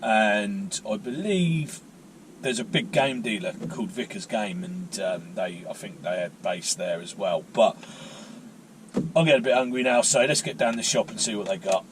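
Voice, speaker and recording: A man's voice: lowish voice, normal speed, slight background noise, low-quality recording. A man, hosting a casual vlog and chatting in a rambling, self-mocking way. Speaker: vlogger. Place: outdoors and in a car on a rainy day.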